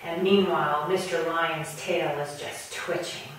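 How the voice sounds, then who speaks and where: A woman telling a children's story in an animated, playful way with drawn-out emphasis. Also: low voice, slight background noise. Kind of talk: animated storytime narration with puppets. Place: echoing room, speaking to a camera. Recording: very echoey room, far from mic.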